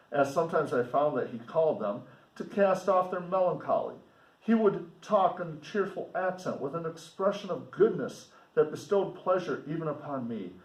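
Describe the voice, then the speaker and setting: Man reading aloud in an echoey room. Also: fairly high pitch. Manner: deliberate, explanatory, pausing to comment for students. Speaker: teacher reading a novel aloud with commentary. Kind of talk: read-aloud lesson with casual asides. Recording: roomy echo, distant microphone.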